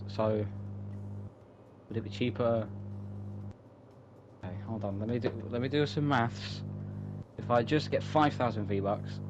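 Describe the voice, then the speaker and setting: A man chatting casually and unscripted, rambling enthusiastically with some swearing. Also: mid-range voice. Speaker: co-host. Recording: clearly noisy background, home-made recording.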